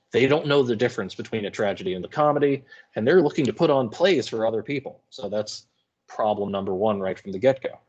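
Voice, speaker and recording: A man's voice: lowish voice, a little mellow. A man teaching English in an informal video lecture, explaining with wry asides and some hesitation. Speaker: high school English teacher.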